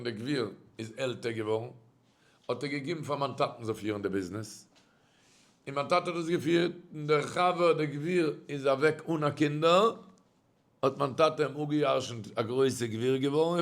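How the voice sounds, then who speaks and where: A middle-aged man telling a story in a sermon, speaking with a Yiddish accent and often swinging his voice up and down. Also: medium pitch. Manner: animated storyteller, voice rising and dropping sharply. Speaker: rabbi giving a religious talk.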